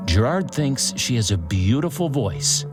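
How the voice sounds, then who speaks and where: An actor playing a theatrical true-crime show host, narrating in short, deliberate sentences with suspenseful pauses. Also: male voice, mid-range voice, big pitch swings. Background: music.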